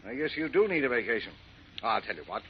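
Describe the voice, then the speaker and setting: Fast-talking, gruff newspaper chief. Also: male voice, medium pitch, very animated. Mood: concerned. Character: brisk, impatient, gruff newspaper editor boss. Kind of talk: 1940s radio acting. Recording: muffled, hissy old radio recording.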